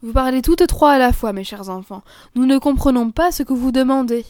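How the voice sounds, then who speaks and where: A woman doing a calm, gentle mother. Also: sits high, fairly quick, dry recording, clean studio sound, lightly acted.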